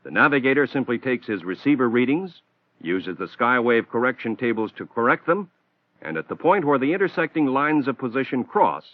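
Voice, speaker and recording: A man giving measured, scripted technical narration for an instructional film. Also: mid-range voice, big pitch swings, dry recording. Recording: tinny narrow-band old film soundtrack.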